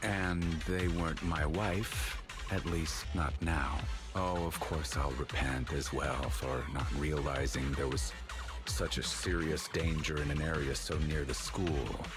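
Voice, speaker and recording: An actor voicing a smug, shifty middle-aged school principal in a video game, with a pompous, oily manner. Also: male voice, extremely low pitch, steady tone, loud music behind the voice. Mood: smugly insinuating. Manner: oily, pompous, evasive, drawn-out mock courtesy. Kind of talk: English video game voice acting.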